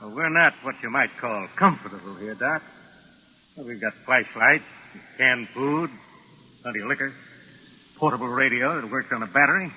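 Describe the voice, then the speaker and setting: Slangy radio-drama gang boss: commanding, threatening, piercingly bright, on a slightly noisy 1940s recording. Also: male voice, mid-range voice, normal speed, pauses often. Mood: smug menace. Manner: slangy hoodlum talk, curt and commanding. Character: tough American gangster boss, menacing, slangy, bossy.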